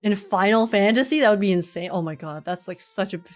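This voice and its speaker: A woman's voice: medium pitch, brisk. A woman livestreaming a game in an informal, giggly manner, chatting casually and reading text aloud. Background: game music.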